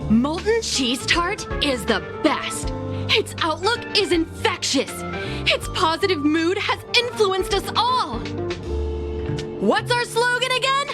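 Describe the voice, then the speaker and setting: A woman's voice: very high voice, sing-song, slow pace, heavily acted. A woman playing a zealous, peppy young devotee in a game, declaiming slogans with preachy fervour. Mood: fervent, upbeat. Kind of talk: scripted game dialogue. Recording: music playing behind the voice.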